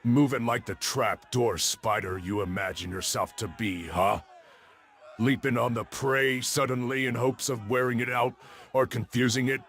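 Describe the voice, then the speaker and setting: An actor playing an imposing, gloating crime lord in a comic-book audio drama, with an eloquent, theatrical, contemptuous delivery. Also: male voice, medium pitch, normal speed, fairly bright tone, lightly acted. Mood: mocking. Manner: theatrical, grandiloquent, taunting. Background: music.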